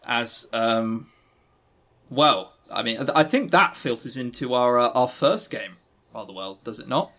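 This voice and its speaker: A man's voice: medium pitch, unhurried, sharp tone. A British man chatting casually as a podcast co-host, with a sarcastic, teasing manner. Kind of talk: casual chat about games.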